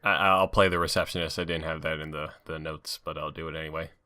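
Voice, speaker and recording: A man's voice: extremely low pitch, level tone, fast talker, sharp tone, dry recording. A man co-hosting a podcast with offhand, wisecracking commentary in casual banter. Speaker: podcast co-host.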